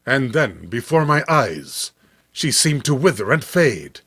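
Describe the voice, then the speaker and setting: A man narrating a dramatic scripted horror story in a theatrical, measured way. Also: medium pitch, sing-song, fairly bright tone, husky. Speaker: narrator of horror comics read-along.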